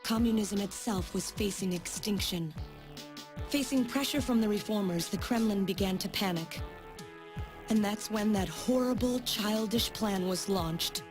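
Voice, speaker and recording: A woman voicing a grave, sorrowful scientist in a video game, telling of a dark past with dramatic delivery. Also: mid-range voice, unhurried, music in the background, natural voice.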